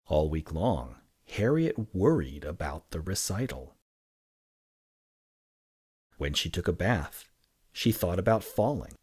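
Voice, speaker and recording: A man narrates a children's storybook in a lowish, husky voice. He takes his time, phrasing each line deliberately and with drama. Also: very animated, darkish tone. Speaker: storybook reader.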